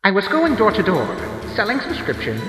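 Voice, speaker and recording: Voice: medium pitch, wildly swooping pitch, moderate pace, fairly bright tone, soft background music. A performer with a woman's-sounding voice playing a shifty, wordy salesman giving theatrical testimony in a game fan dub. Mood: dramatic testimony.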